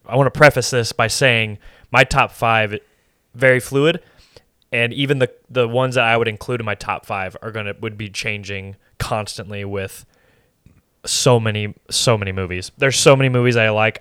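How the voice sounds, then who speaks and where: A man's voice: medium pitch, a bit bright, clear, resonant tone, dry recording, studio quality. A man co-hosting a podcast, chatting casually in an easygoing, rambling, hedging way. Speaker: podcast co-host. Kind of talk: casual conversational chat.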